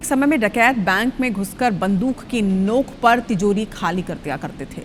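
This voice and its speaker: A woman's voice: medium pitch, expressive, normal speed. A woman news anchor reading a scripted introduction to a report in a dramatic, emphatic way. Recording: long reverb, music underneath.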